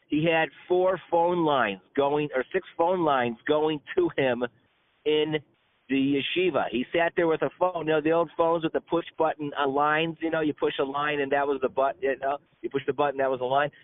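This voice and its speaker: A man's voice: a little high, no room sound. A man giving an informal religious class as a rabbi, telling stories conversationally with stammered repetitions and colloquial asides, over a conference call. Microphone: telephone conference call. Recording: tinny, narrow-band phone line.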